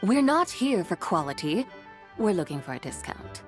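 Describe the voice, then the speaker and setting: An actress playing a solemn, logical, otherworldly young woman in a video game, speaking in a formal, deadpan, measured manner. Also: medium pitch, close to an everyday voice. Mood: calculating. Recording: music behind the voice.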